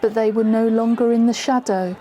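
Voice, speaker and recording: A female narrator played by an actress tells a first-person drama in a measured, suspenseful way. Her voice is fairly high and mellow, with a little noise in the background. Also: fairly even intonation, a faint crowd, natural voice. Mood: suspenseful narration. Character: female storyteller recalling a tense witnessed drama.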